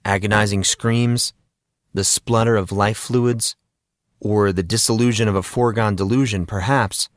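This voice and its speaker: A man narrating a fantasy story as an audiobook, in a dramatic, deliberate and portentous manner. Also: fairly low pitch, steady tone, no room sound, clean studio sound.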